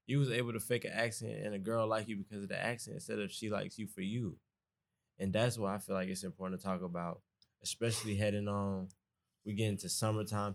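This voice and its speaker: A young man from East Atlanta with a Southern Atlanta accent, a college student talking earnestly in a relaxed podcast chat. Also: on the low side, creaky. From East Atlanta, Georgia. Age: young adult. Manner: relaxed, earnest, conversational, dialect grammar. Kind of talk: casual podcast conversation.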